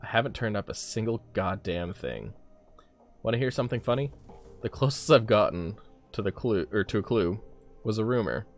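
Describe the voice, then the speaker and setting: Man acting weary middle-aged male drinker, game dialogue, low voice, bitter, stubborn, varied intonation. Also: expressive, moderate pace, soft background music. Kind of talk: reading game dialogue aloud.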